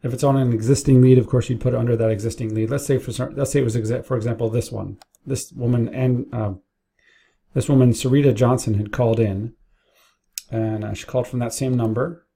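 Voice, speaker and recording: A man's voice: medium pitch, fairly even intonation, fairly quick, gravelly, warm tone, husky. A man narrating a casual screen-share tutorial, explaining things informally step by step as a business owner training staff. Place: indoors at a computer, keyboard and mouse clicks.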